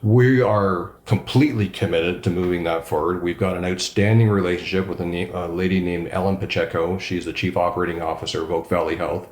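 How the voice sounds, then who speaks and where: A man's voice: low voice, steady tone, brisk, few pauses, reverberant. A man, a regional councillor, answering interview questions with emphatic enthusiasm. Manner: enthusiastic, emphatic, self-described loud voice.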